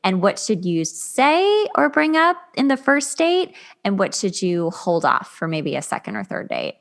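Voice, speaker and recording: A woman hosting a podcast in a casual, expressive way, with very informal phrasing. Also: medium pitch, a little slow. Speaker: podcast host, interviewer. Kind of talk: casual chat.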